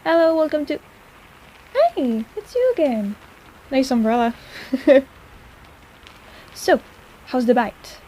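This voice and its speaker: Woman acting a high-voiced, teasing apothecary. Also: expressive, slow, pauses often, warm tone, a little hiss or hum, poor audio. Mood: friendly, teasing. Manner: playful, sardonic, chiding. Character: teasing, sarcastic but caring one-armed woman apothecary. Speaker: audio roleplay voice actor. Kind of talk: intimate personal-attention audio roleplay.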